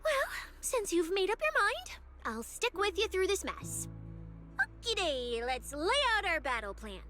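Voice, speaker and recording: A woman's voice: very high-pitched, very animated, a little slow, sharp tone, soft background music, heavily acted. A woman voicing a cocky, teasing young snake-woman case specialist who talks in a playful, bossy way, in a game dub. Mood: scheming.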